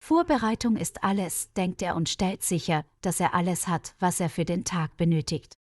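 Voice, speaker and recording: A woman reading a scripted story for language learners, articulating clearly. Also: fairly low pitch, moderate pace, a little mellow, dry recording, studio quality. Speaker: narrator.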